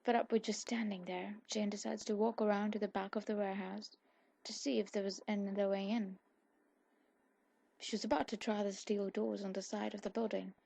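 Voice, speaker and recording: A woman with a husky voice reading a scripted story aloud in an ASMR style. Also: medium pitch, mellow, no echo. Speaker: fan fiction audiobook narrator.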